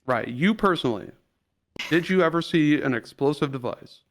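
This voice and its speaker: A man improvising as an attorney in a roleplay trial, pressing a witness with halting, repetitive questions. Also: on the high side, animated, a little hiss or hum. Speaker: attorney cross-examining a witness.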